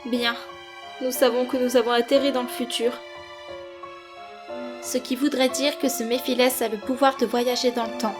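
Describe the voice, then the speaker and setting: A woman's voice: on the high side, little pitch movement, moderate pace, pauses often, over music, lightly acted. A woman playing a confident, analytical female agent in a cartoon fan dub.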